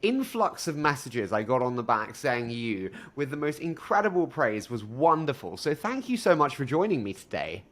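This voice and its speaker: A young man in his twenties hosting a podcast interview, asking questions enthusiastically and quickly, with restarts. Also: mid-range voice, sing-song, fairly bright tone. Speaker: podcast host.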